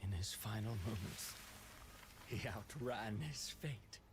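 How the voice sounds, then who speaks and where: Slow, pompous theatrical villain. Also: male voice, fairly low pitch. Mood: mocking. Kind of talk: dramatic game cutscene acting. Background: music.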